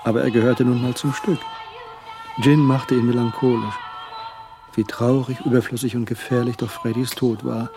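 A male actor as the narrator of a radio crime story, with a medium-pitched voice. He tells it in tense, scripted prose. Music plays clearly behind him. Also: fairly even intonation, moderate pace, lightly acted. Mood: brooding.

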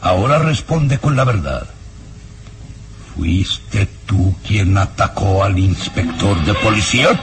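An actor playing a stern, easily enraged baron in an old radio serial, questioning imperiously. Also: male voice, low voice, animated, over music, full character voice. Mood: furious, demanding. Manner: imperious, interrogating, deliberate. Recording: noisy old radio drama recording.

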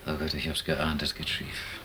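A man's voice: a bass voice, monotone, normal speed, pushed and bright. An actor playing Frank, an insistent, pragmatic German man abroad, plain-spoken and impatient in a naturalistic radio drama. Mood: uneasy. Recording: hissy, noisy cassette copy of radio play.

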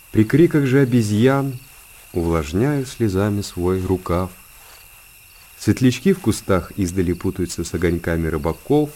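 A man's voice: lowish voice, mellow, lightly acted. A man playing a contemplative hermit monk who lives in seclusion, delivering a literary radio drama monologue. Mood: wistful. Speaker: narrator in a radio play.

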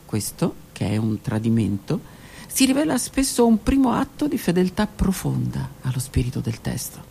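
A middle-aged woman, a stage actress, performing a dramatic monologue that swings from hushed whispers to raised declaiming. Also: very deep voice, big pitch swings, moderate pace, gravelly, a little hiss or hum. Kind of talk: theatrical monologue. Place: radio studio.

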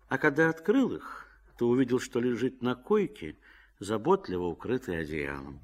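Very expressive male radio play narrator. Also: medium pitch.

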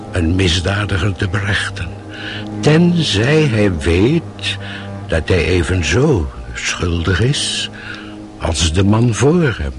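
An actor playing a solemn, compassionate old monk, preaching in measured radio drama style. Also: male voice, deep voice, heavily acted. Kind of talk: Dutch radio drama acting. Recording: old radio play with music behind.

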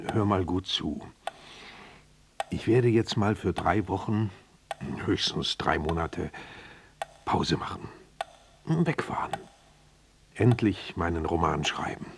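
A man's voice: low voice, expressive, slow, creaky, long pauses, slight background noise, heavily acted. An actor playing an evasive, poetic father in a German radio drama, rambling and grandiloquent. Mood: resolute, weary.

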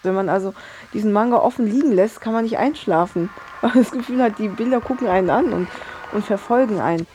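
A woman's voice: medium pitch, fast, noisy background. A woman explaining things in casual talk, thoughtfully searching for her words. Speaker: interviewee talking about manga. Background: music.

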